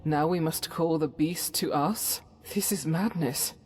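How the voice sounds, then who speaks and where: A devout warrior follower from a fantasy game, acted by a woman. Her voice sits low and she speaks with stern, solemn formality in old-fashioned phrasing. Soft music runs underneath. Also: measured pace. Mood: grave. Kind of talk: scripted fantasy game companion dialogue.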